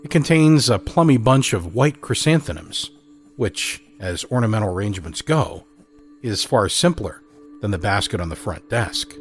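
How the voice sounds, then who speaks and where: A man narrates a drama in a measured, literary voice with dry wit. His voice sits on the low side. A faint score plays softly beneath the narration.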